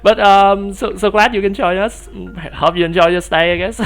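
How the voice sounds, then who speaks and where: A man with a youthful, somewhat high voice talks casually on a live stream. He rambles, restarts his sentences and fills gaps with "uh" and "like," laughing often as he goes. Soft music plays faintly under him. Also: fairly even intonation, normal speed, gravelly. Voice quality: youthful, boyish voice.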